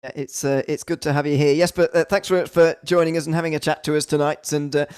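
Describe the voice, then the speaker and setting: A man with a slightly high voice works as a live sim racing commentator and host. The sound is dry and close, and his delivery is a little stop-start. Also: little pitch movement, fast talker, darkish tone, clean tone, no room sound.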